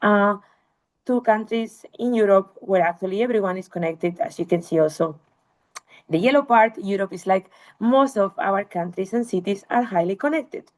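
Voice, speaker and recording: A woman's voice: mid-range voice, moderate pace, darkish tone, poor audio. A woman researcher giving an academic webinar lecture, explaining slides to listeners.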